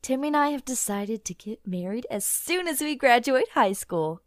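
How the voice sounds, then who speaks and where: A woman's voice: a little high, animated, normal speed, no echo, studio quality, natural voice. A woman voicing a headstrong teenage girl who snaps back defiantly at her mother.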